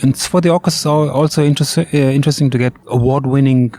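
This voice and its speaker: A German man being interviewed in English, not his first language, searching for words with plenty of ums and uhs. His German accent is clear, and his voice sits at an ordinary middle pitch. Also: fairly even intonation, fast, dark tone. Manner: hesitant, many ums and uhs, slightly imperfect English. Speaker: orchestra bassist, guest. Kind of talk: interview answers. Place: outdoor courtyard.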